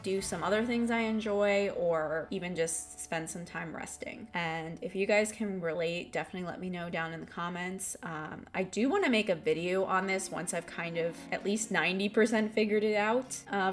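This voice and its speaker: A young woman talking candidly in a casual voiceover, her voice a little off from a cold she is getting over. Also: lowish voice. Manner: candid, rambling, thinking aloud. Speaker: art vlogger.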